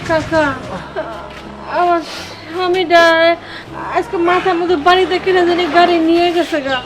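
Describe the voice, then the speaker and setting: A woman's voice: very high voice, flat delivery, moderate pace, rough recording. Woman playing a tearful pleading wife. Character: poor tearful wife and mother. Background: background music.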